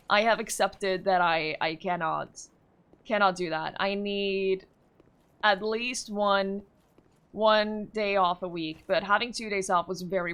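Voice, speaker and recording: A woman's voice: mid-range voice, fairly bright tone. A young woman from Norway chatting casually with her chat while streaming a game, answering questions with frequent fillers and small laughs.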